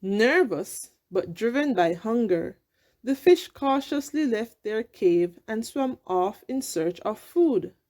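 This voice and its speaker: A woman narrating a children's story aloud in an expressive, deliberate way. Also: a little high. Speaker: storybook reader.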